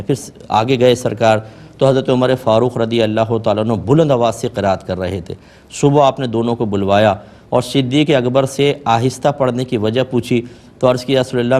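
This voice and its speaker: A man delivers religious guidance in the role of an Islamic scholar. His voice sits at a medium pitch, and he explains and admonishes as he goes, mixing in Arabic devotional phrases. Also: darkish tone. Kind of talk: religious advice talk.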